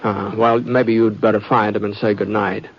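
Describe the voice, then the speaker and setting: A man's voice: lowish voice, level tone, a little hiss or hum, close to an everyday voice. A man playing a kindly, bashful frontier fellow with an American Western accent, in a homespun, hesitant old-time radio style. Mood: gentle concern. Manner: homespun, hesitant, drawn-out delivery. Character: folksy, kindly, bashful frontier man telling his tale. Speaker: narrator and lead character. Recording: dull vintage radio recording with music.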